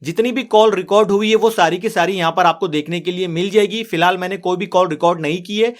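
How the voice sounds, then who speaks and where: A man's voice: sits high, quick pace. A man narrating a step-by-step phone tutorial as the host, in an instructional way, addressing viewers as friends.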